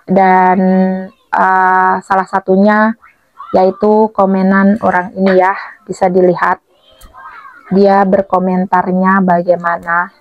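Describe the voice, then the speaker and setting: A woman's voice: mid-range voice, level tone, takes it slowly, long pauses, no echo, highs cut off, soft background music. A woman chatting casually to her viewers as a vlogger, with a cough, cold and sore throat. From Blitar, East Java, Indonesia.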